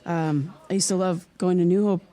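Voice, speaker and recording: A woman's voice: lowish voice, fairly even intonation, warm tone, natural voice. A woman acting as an earnest, hesitant podcaster seeking answers in a naturalistic audio drama. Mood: awkward, friendly. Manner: halting, apologetic, polite. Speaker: lead actor playing a podcaster.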